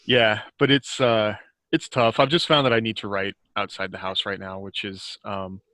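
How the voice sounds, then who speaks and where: A man's voice: lowish voice, fairly even intonation, normal speed, sharp tone, no echo. An American man chatting casually and telling anecdotes as a podcast guest, informal and often chuckling as he talks.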